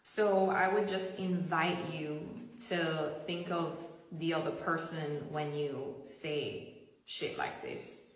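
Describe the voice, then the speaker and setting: A woman's voice: low-pitched, steady tone, takes it slowly, heavy reverb, big-room sound, poor audio. A woman actress speaking earnestly and candidly in a personal vlog.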